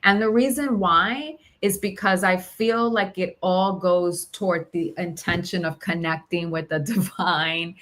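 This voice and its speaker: A woman's voice: lowish voice, steady tone, a little slow, hardly any pauses, a bit bright. A woman talking reflectively in conversation, hesitant and searching for her words. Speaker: podcast host.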